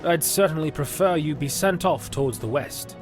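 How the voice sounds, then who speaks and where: A man voicing a thoughtful male knight leader who speaks in a measured, reassuring way. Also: on the high side, music in the background, voice pushed up, close to an everyday voice.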